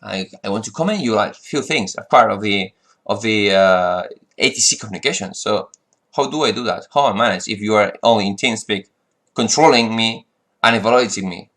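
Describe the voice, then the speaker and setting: A man's voice: fairly low pitch, brisk, a bit bright. Frustrated man. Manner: frustrated, rambling with restarts and fillers. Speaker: flight sim vlogger. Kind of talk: casual monologue.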